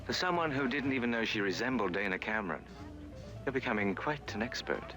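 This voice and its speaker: An actor playing a composed man in a 1970s TV crime drama, talking slowly in a dry, teasing way. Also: medium pitch, lively intonation, natural voice. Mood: wry. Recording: old TV clip, distant, with music.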